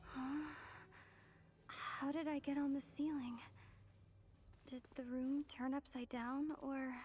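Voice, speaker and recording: High-pitched, curious little game companion. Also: female voice, little pitch movement, slow, a little mellow, a little hiss or hum. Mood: puzzled. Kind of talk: scripted video game voice acting. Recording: game music under the voice.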